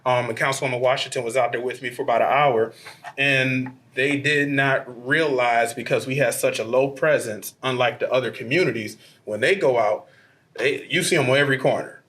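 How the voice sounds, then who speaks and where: A man with a slightly hoarse voice speaks at a city council meeting. He makes an earnest appeal to the public, using plenty of filler sounds, at an ordinary middle pitch. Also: brisk, fairly bright tone.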